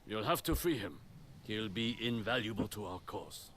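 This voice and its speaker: An actor playing a seasoned spear master in a video game, a stern yet encouraging teacher with a measured, commanding, instructive manner. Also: male voice, lowish voice, animated, fairly bright tone. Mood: earnest. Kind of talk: video game character acting. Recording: clear noise of game ambience.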